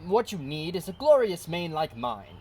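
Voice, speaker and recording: A woman's voice: on the low side, very animated, moderate pace, darkish tone, slight background noise. A woman voicing a proud, pompous male lion in a storybook. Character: proud male lion boasting of his glorious mane.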